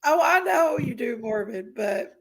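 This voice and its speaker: A woman's voice: on the high side, animated, unhurried, breathy edge. A woman chatting casually as the host of a livestream. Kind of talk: casual chat.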